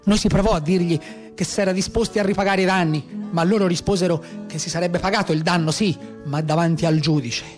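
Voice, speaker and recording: Man as a Tuscan brigand, higher male voice, colloquial folk storyteller, bitter, radio theatre monologue, music behind. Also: fairly even intonation, fairly quick, lightly acted. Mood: agitated. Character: Tuscan brigand, former cowherd, bitterly recounting his life.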